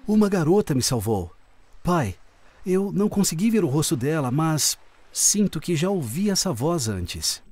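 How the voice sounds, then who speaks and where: A young cartoon prince speaks softly and earnestly in a lowered, lively voice, as a woman puts him on. Music stays faint behind him. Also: a little slow. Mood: wondering. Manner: lowered voice, soft and wondering.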